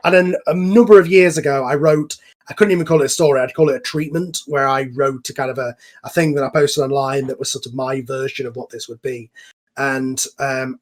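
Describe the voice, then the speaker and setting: A man hosting a casual online group chat, explaining things at length in an enthusiastic, informal, rambling way. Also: medium pitch. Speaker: host and series creator. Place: online voice call.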